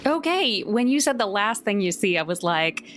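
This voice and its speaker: A woman's voice: mid-range voice, very animated, normal speed, noisy background. A woman playing a tabletop roleplaying game, talking through her options in a casual, conversational way.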